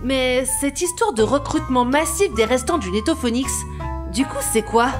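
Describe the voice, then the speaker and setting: A woman's voice: high-pitched, normal speed, music in the background, natural voice. A woman playing a suspicious, indignant worker who challenges the boss in a pointed way.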